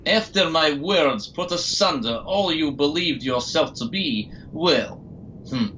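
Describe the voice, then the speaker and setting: A man's voice: a little high, animated, slow, pushed and bright, highs cut off, a little hiss or hum, exaggerated voice. A man playing a gloating, controlling villain scientist in an audio drama, pompous and taunting. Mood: smug, taunting.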